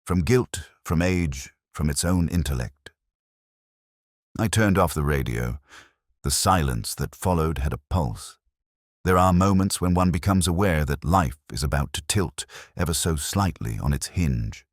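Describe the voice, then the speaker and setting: A man performs a weary older detective telling his story in an audio drama. The voice sits extremely low and is recorded very dry and close, with no room around it. The delivery is measured, brooding and literary, full of quiet melancholy. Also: expressive, no room sound, heavily acted. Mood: brooding, reflective. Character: weary, melancholy older male Oxford police detective narrating. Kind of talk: literary first-person audio drama narration.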